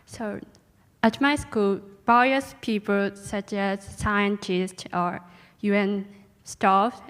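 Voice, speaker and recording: A teenage girl, a native Japanese speaker, giving a careful, halting self-introduction in English as a student panelist. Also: mid-range voice, clean tone. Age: teens. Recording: echoey hall.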